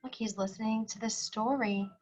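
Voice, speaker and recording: A woman reading a storybook aloud to young children, expressive and singsong, pausing to explain and ask questions. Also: on the high side, lo-fi sound.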